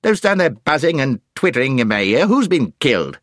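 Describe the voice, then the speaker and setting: A man playing an old, irascible, hard-of-hearing dwarf in a storybook, loud, blustering and indignant. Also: mid-range voice, very animated, brisk, no echo, studio quality, voice pushed up. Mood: irascible, baffled.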